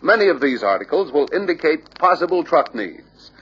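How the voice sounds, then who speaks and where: Husky male training-film narrator, lively pitch. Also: normal speed. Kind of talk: scripted instructional narration. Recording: dull lo-fi old training film soundtrack.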